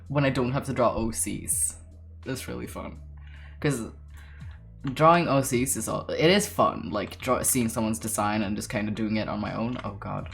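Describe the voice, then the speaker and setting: A young man in his late teens with a deep voice, chatting casually on a livestream while drawing, rambling with lots of fillers, laughing often and swearing casually. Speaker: artist streamer.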